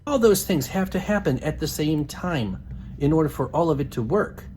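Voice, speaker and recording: A man's voice: fairly high pitch, animated, moderate pace, darkish tone. A man speaking as a religious apologist in an argumentative, insistent and assertive way. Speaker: religious apologist, video maker. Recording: clear background noise.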